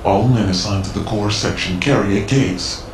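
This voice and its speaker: An actor playing a mysterious cyborg ninja informant in a video game, cool, terse and cryptic, with a measured delivery. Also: male voice, lowish voice, roomy sound, noisy background, lightly acted. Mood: cool, instructive. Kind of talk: video game cutscene voice acting.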